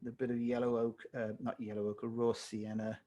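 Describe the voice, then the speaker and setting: A man with a slightly hoarse voice, teaching watercolor live on a video call and thinking aloud as he paints. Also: medium pitch, a little fast, highs cut off. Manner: relaxed, thinking aloud while painting, self-correcting. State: slightly hoarse, losing his voice. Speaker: watercolor tutor. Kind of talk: live online painting tutorial.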